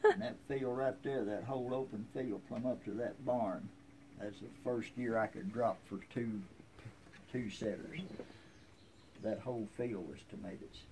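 A man's voice: medium pitch, measured pace. A man in his seventies with a rural American accent, casually reminiscing in a folksy, plainspoken way out on a porch. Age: 70s. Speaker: interviewee telling family history. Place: outdoors on a covered wooden porch. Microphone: handheld phone a few feet away. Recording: outdoor ambient noise.

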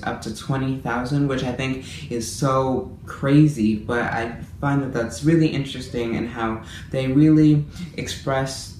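A young man vlogging to the camera about languages he loves, in an earnest, eager way, slowing down to explain things. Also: mid-range voice. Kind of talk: casual to-camera vlog. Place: bedroom, indoors. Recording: echoey room, faint room hiss.

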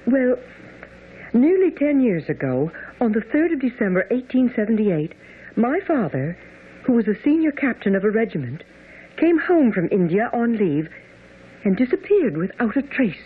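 A young Victorian gentlewoman in a radio play, earnest and exact. Her breathy voice moves with lively intonation. The broadcast is thin and phone-like. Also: a little high, normal speed. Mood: earnest, troubled. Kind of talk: radio drama acting.

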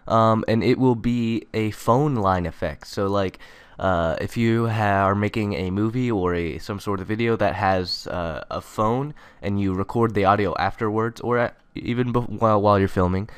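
Casting: young male tutorial host, low smooth voice, informal delivery, dry close recording. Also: normal speed, dry recording. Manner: informal, conversational. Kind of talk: casual software tutorial narration.

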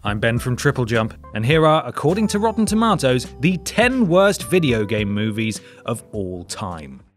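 A man presents a scripted countdown as its host. His mid-pitched voice moves in lively, wide swings, and he drops in dry, sarcastic asides. Music plays behind the narration. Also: normal speed. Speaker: host of a video game list show.

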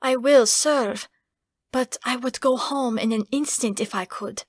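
A woman's voice: on the high side, unhurried, no room sound, studio quality. A woman voicing a homesick, earnest young servant in a fantasy audiobook, wistful and deferential. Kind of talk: fantasy audiobook character acting.

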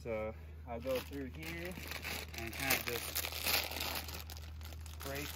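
A man in his thirties, a mushroom farmer, giving a casual, unhurried tour of his garden with plenty of fillers, recorded outdoors on a camera mic. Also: mid-range voice. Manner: slow, unhurried, with frequent fillers. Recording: outdoor street noise behind.

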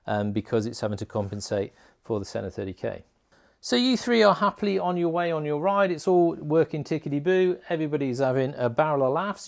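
Male tutorial host, mid-pitched, chatty and colloquial, informal step-by-step product explanation. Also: a little mellow. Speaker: motorcycle gear video host.

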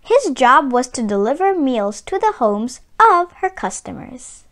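A woman reading a children's picture book aloud as host, with deliberate, sing-song storytelling phrasing. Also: high-pitched, very animated, fairly bright tone.

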